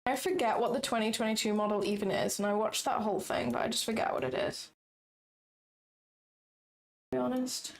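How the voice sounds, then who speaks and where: A young woman with a raspy voice, chatting casually and thinking aloud as she hosts a live trading stream. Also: on the high side, steady tone, a little fast, pauses often. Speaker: trading livestream host.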